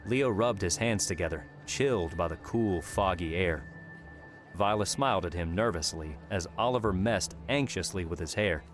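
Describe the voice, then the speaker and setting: Man, deep voice, measured audiobook narration, music behind. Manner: measured, steady reading. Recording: narration over background music.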